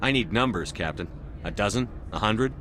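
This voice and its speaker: A man playing a steady, direct military commander in a video game, level and to the point. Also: on the low side, normal speed, background noise, close to an everyday voice. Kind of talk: scripted video game acting.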